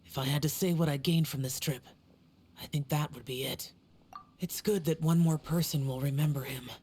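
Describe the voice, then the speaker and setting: A woman voicing a solemn young male warrior guardian in a video game, speaking in a grave, measured, weary way. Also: deep voice, fairly even intonation, a little mellow, slight background noise, lightly acted. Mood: reflective.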